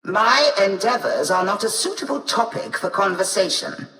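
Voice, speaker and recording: A woman's voice: medium pitch, expressive, normal speed, pushed and bright. An actress voicing a haughty, disdainful female tech-priest of a machine cult in a video game, with a formal, imperious manner. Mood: cold suspicion. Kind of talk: video game character acting. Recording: distant, echoing, long reverb.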